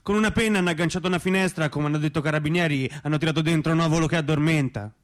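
An actor playing an aggrieved, bumbling provincial man, halting and repetitive, in comic radio theatre. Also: a little high, close to an everyday voice.

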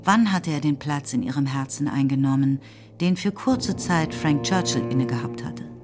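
A woman narrating a literary radio drama in a measured, deliberate way, as a composed storyteller. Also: low voice, close to an everyday voice. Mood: reflective. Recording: music under the voice. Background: music.

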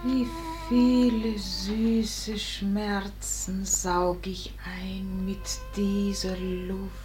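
A woman's voice: mid-range voice, little pitch movement, very slow, rough recording. An actress playing the sorrowful, tender ghost of a mother, wistfully speaking verse in a radio play. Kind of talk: declamatory verse radio drama acting. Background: music.

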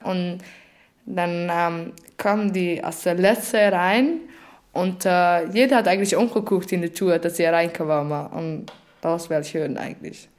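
A woman's voice: fairly low pitch, fairly even intonation, measured pace, darkish tone. A woman speaking earnestly in casual German, retelling a story and giving her views while searching for words. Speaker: participant sharing views. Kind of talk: casual spoken retelling and opinion.

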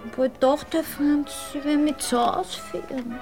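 An actress playing a clingy, sulking young working-class sweetheart in a radio play, pleading in Viennese dialect. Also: female voice, high voice, moderate pace, darkish tone, soft background music. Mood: plaintive, pleading. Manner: plaintive, pleading.

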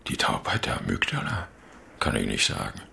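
An actor playing a gruff neurologist in a radio crime drama, with a gravelly voice and matter-of-fact explanations. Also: male voice, a bass voice, wildly swooping pitch, moderate pace, sharp tone, full character voice.

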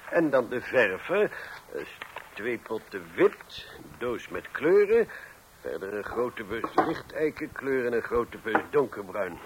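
A man's voice: mid-range voice, sing-song, slow. An actor in a scripted radio comedy playing a polite, chummy paint shop salesman with a coaxing manner. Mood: businesslike. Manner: courteous, coaxing. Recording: faintly noisy old radio recording.

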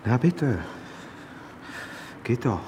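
A man's voice: medium pitch, expressive, extremely slow, background noise. An actor in a radio drama playing a domineering, threatening, possessive policeman.

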